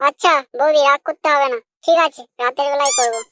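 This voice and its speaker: A man voicing a lustful young village lover in a comic cartoon, crude and full of flattery. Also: very high voice, fast talker, noisy background. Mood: coaxing. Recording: loud children's music behind. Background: children's music.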